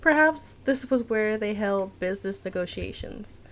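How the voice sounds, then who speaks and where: Young woman, streamer, casual chat and dramatic reading, medium pitch, faint background noise. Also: expressive, normal speed. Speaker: streamer reading a visual novel aloud. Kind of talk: casual let's-play chat and dramatic read-aloud narration.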